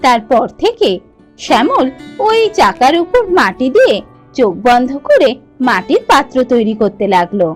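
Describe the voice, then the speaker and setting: A woman narrating a village folk tale for a cartoon in a calm, steady way. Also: high-pitched, moderate pace, faint music behind. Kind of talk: storytelling narration.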